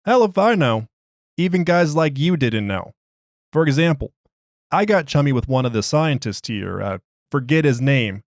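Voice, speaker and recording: A man's voice: mid-range voice, lively intonation, normal speed, no room sound, studio quality, natural voice. A man voicing an agitated, streetwise prisoner who argues his case in slangy, ungrammatical, exasperated speech. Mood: casual, defiant. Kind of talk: dramatized interview log.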